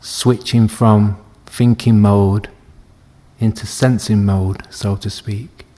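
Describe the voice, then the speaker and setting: A man leading a guided meditation as a teacher, speaking gently and soothingly with long unhurried pauses. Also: fairly low pitch, steady tone. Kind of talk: guided body scan meditation.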